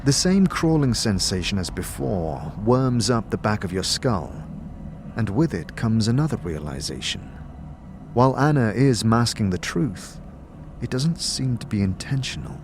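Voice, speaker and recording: Low-pitched, expressive male fantasy narrator. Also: unhurried, noisy background. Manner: atmospheric storytelling. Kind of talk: dramatic second-person fantasy audio drama narration.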